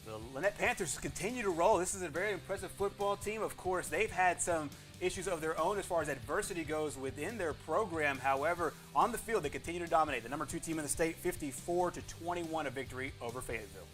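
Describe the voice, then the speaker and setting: A man hosts a football score roundup with an emphatic announcer delivery. His voice sits a little high and moves very quickly, with faint music behind it. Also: fairly bright tone. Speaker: sports show host.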